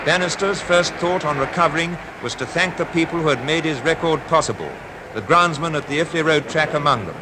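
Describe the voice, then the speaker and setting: 1950s newsreel man: Received Pronunciation, highish voice, formal dramatic announcing, noisy archive sound. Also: fairly quick, hardly any pauses. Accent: British Received Pronunciation. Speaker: newsreel commentator. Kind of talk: 1950s scripted newsreel commentary.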